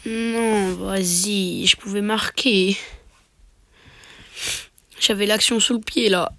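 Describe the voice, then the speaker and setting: A man's voice: high voice, steady tone, slow pace, bright, forceful tone. A man with a cold, sniffling as he casually commentates his own match into a phone mic, muttering half-whispered asides and mock boasts. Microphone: phone microphone.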